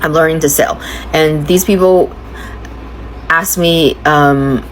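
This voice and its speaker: A woman from China with a low voice speaks openly to her phone, thinking aloud in a searching, confiding way. Faint noise hums behind her. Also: fairly even intonation, slow, poor audio. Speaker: vlogger sharing personal reflections. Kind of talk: candid personal monologue.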